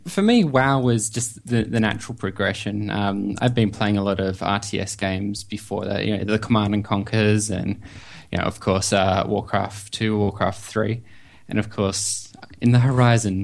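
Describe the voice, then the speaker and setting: A man's voice: lowish voice, moderate pace, gravelly. A man with an Australian accent, talking casually as a guest on a podcast. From Perth, Western Australia. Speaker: podcast guest, website developer. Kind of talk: casual interview chat.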